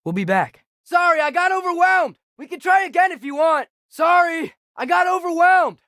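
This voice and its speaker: A man's voice: extremely high pitch, lively intonation, normal speed, bright, forceful tone, no echo, raised voice, heavily acted. An earnest American teenage boy adventurer, close and dry. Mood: urgent. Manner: hesitant, earnest, questioning. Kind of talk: video game character dialogue.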